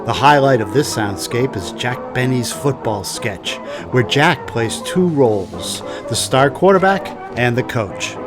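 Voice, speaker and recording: A man's voice: medium pitch, lively intonation, measured pace, few pauses. A man reading a scripted podcast introduction as its host. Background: loud music.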